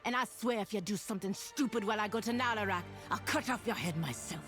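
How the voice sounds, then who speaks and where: A woman's voice: mid-range voice, quick pace, a bit bright, soft background music, close to an everyday voice. An actress playing a stern, grieving female troll leader in a video game, speaking with solemn command. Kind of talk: dramatic video game acting.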